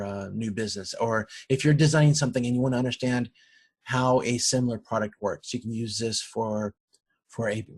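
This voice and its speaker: A man lecturing informally on a technical subject, explaining step by step with examples and often rephrasing himself in a halting way. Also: on the low side, darkish tone. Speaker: lecturer in operations management.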